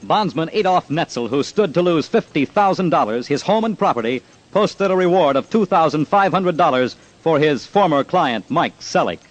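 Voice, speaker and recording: A man's voice: fairly high pitch, expressive, normal speed, rough recording. A man narrating an old-time radio crime series in a terse, emphatic, dramatic style. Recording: old radio broadcast with loud music behind. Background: dramatic musical underscore.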